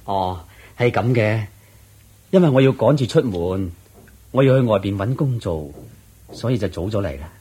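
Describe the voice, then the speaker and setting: Radio play devout, careworn young father, Hong Kong Cantonese accent, lowish husky voice, earnest and plain-spoken. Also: lively intonation, moderate pace, dark tone. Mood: humble, earnest. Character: poor, devout, worried young husband and father. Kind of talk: Cantonese-language Buddhist radio drama acting.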